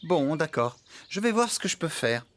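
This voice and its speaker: A woman's voice: deep voice, very animated, moderate pace. A woman voicing an obliging, easily flustered young male farmhand in a children's cartoon. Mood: exasperated, obliging. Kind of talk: animated children's cartoon dub. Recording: loud music behind the voice. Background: loud cartoon music.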